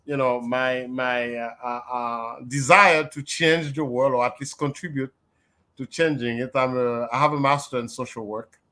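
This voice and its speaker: An older man from Haiti, a guest on a video call, speaking in a measured, reflective way with many fillers. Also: medium pitch, fairly bright tone. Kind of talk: casual interview talk.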